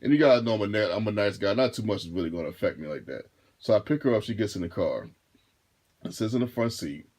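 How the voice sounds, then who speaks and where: A deep-voiced man in his thirties telling a casual storytime to his viewers, rambling and restarting his phrases as he goes. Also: lively intonation, fast talker, a little mellow. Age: 30s. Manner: laid-back, rambling, repeats and restarts phrases. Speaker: vlogger and rideshare driver.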